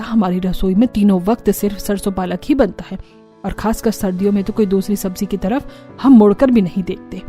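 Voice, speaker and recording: A woman's voice: mid-range voice, mellow, natural voice. A woman voicing a strict mother-in-law with a firm, declarative manner in a cartoon story. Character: strict mother-in-law devoted to her favorite food.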